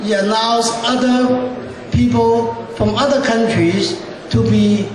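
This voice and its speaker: Man, ceremonial speech, halting, echoey banquet hall, crowd behind. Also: extremely high pitch, steady tone. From Hong Kong. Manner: halting, many "uh" pauses, loose grammar. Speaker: city council member giving a speech. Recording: echoey hall, distant mic, crowd noise.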